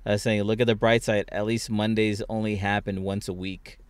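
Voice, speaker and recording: A man's voice: fairly low pitch, flat delivery, normal speed, no room sound. A young man hosting a podcast, telling stories in casual chat with lots of fillers and restarts. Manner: rambling storytelling, many restarts and fillers. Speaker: podcast host.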